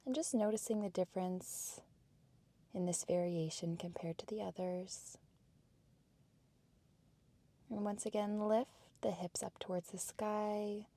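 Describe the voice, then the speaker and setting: A woman instructor giving soft, breathy, measured cues for a guided workout in a calm meditation cadence. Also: medium pitch. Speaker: fitness and yoga instructor.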